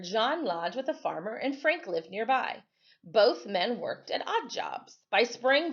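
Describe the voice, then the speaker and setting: A woman reads a history story aloud like a teacher at storytime. Her pitch moves around a lot, giving the telling an animated, expressive feel. The recording is slightly muffled and lo-fi. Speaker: storyteller reading aloud to children.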